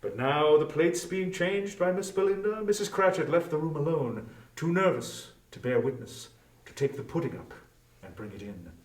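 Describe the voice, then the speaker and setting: A man's voice: a little high, lively intonation, normal speed. A man narrating a story as a scripted dramatic reading, recorded at some distance from the microphone. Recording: roomy echo, distant from microphone.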